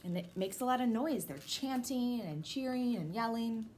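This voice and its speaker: A woman's voice: on the high side, expressive, moderate pace, a little mellow. Woman teacher reading aloud, noisy recording. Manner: explains simply, as to young children. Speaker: teacher reading a picture book to a class. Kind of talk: read-aloud with explanatory asides. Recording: noisy background.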